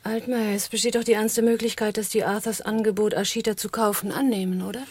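An actress playing a criminal's sceptical female accomplice in a radio crime drama, husky and measured, with pointed questions. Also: mid-range voice, level tone, a little mellow, dry recording, close to an everyday voice. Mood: probing, sceptical. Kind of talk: German radio crime drama acting and narration.

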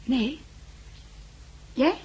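An actress playing a poised, candid young society woman in a 1960s Dutch radio drama, soft and playful, with a Netherlands accent. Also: very slow, muffled recording. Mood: reflective, candid. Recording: vintage 1960s radio recording.

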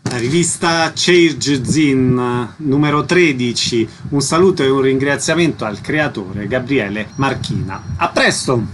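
A man's voice: medium pitch, a little slow, hardly any pauses, background noise. A man hosting a radio interview, putting prepared questions to guests.

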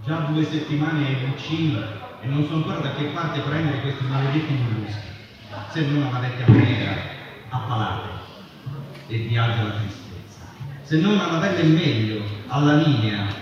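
A man's voice: medium pitch, moderate pace. A man giving a staged reading of a literary text. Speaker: reader in a workers' collective. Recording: dull, distant, very echoey, crowd noise. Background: crowd noise.

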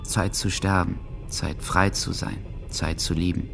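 A brooding teenage boy speaks his diary as an intimate radio-drama monologue. The voice is very low with a fry in it, measured and inward. Soft music lies underneath. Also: steady tone, heavily acted. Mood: weary longing.

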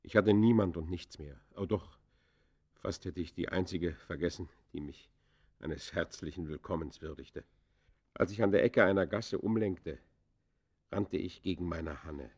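An actor playing an embittered ex-convict in a radio play, confessing in theatrical, literary that swings from hushed to fierce. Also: male voice, deep voice, unhurried, breathy edge. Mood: mournful. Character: embittered male ex-convict confessing his descent into crime. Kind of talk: German radio play acting, literary monologue.

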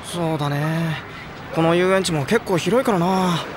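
A woman voicing an excitable, timid, lovestruck young boy in an anime audio drama, with a boyish voice and a melodramatic delivery. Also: lowish voice, measured pace, noisy background, close to an everyday voice. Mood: suggesting. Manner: melodramatic, swinging between chatter, wails and sighs. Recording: music playing loudly behind.

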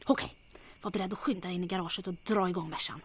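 A woman's voice: on the low side, steady tone, fairly bright tone, a little hiss or hum, lightly acted. Woman playing a bossy, fearless adventuress. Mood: resigned. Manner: commanding, brisk, teasing. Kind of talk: comic audio drama acting.

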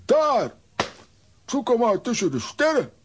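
An actor playing a bumbling, cocky comic adventurer in a children's audio drama, hesitant yet pompously formal. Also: male voice, extremely high pitch, wildly swooping pitch, a little slow, a little hiss or hum, exaggerated voice.

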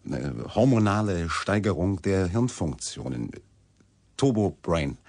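An actor playing a dogged newspaper reporter in a radio drama, asking terse, skeptical questions in with a husky voice. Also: male voice, deep voice, big pitch swings, unhurried, a little mellow, full character voice. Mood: insistent. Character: dogged male newspaper reporter chasing a scandal.

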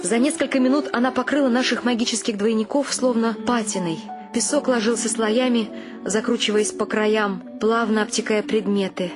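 Actress as a higher-voiced wry narrator over music. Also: female voice, fairly even intonation, normal speed, natural voice. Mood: hushed wonder. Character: wry woman narrator telling her eccentric family's story. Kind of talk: storytelling narration in a radio play.

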